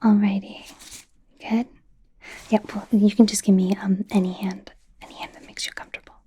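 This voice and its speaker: A woman voicing an awkward, gentle werewolf doctor in a hesitant, breathy whisper for an ASMR roleplay. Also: mid-range voice, flat delivery, a little hiss or hum, lightly acted. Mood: gently clinical. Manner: hesitant, halting, softly reassuring. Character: awkward, curious young female werewolf doctor, gentle and apologetic. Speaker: ASMR performer.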